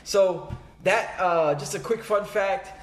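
High-voiced laid-back man in an echoey room. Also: slow. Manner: laid-back, lots of fillers and restarts. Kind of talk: casual chat about hip hop films and music.

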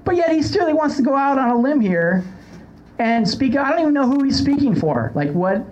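A man's voice: very high-pitched, big pitch swings, normal speed, a little mellow, highs cut off, a little hiss or hum. A man hosting a podcast in casual conversation, with a sarcastic, wry way of talking. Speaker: podcast host. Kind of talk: casual chat.

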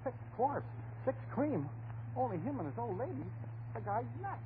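Folksy, deferential milkman, high bouncy voice, nervous stammer, vintage radio drama on a tinny, humming recording. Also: very animated, moderate pace, noisy background. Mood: flustered, muttering.

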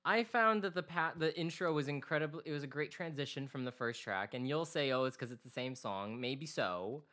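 A man's voice: a little high, brisk, pushed and bright. A man in his twenties co-hosting a music podcast, talking earnestly in a rambling way with many restarts. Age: 20s. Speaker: podcast co-host. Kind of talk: casual chat.

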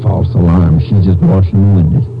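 An actor playing a plain-spoken, folksy Texas sheriff in an old-time radio crime drama. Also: male voice, sits low, fairly even intonation, moderate pace, narrow, tinny audio, noisy background, lightly acted. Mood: puzzled, watchful. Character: plain-spoken small-town Texas sheriff, a grown man. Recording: band-limited vintage radio recording.

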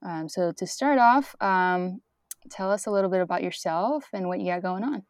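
A woman's voice: on the low side, lively intonation, moderate pace, dry recording, studio quality. A woman in her twenties co-hosting a podcast, upbeat and encouraging as she asks questions. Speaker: podcast co-host and interviewer. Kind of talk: casual conversational interview.

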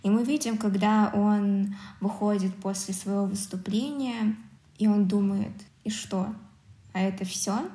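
Female podcast co-host, mellow tone, roomy echo. Casual, opinionated, explains her view on films. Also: mid-range voice, fairly even intonation, unhurried. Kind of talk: casual film discussion. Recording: roomy, echoing sound.

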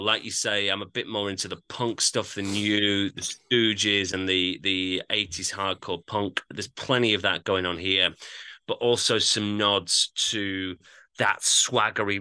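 Very bright, crisp-voiced man chatting. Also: fairly low pitch, no room sound. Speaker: music podcast co-host. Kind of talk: casual album review chat.

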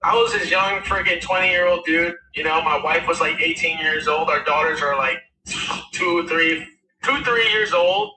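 A raspy-voiced man in his thirties, a musician guest telling his story in a rambling, casual way with many fillers. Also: fairly high pitch, moderate pace, roomy sound, recorded from a distance, crowd noise, low-quality recording. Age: 30s. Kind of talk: casual interview talk.

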